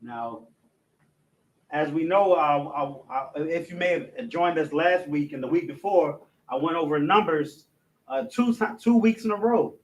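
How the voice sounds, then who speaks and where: A man's voice: on the high side, expressive, low-quality recording. A man teaching a language class, speaking with frequent restarts and fillers, and reading out an alphabet letter by letter. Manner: halting, many restarts and fillers. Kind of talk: classroom lesson, reciting alphabet.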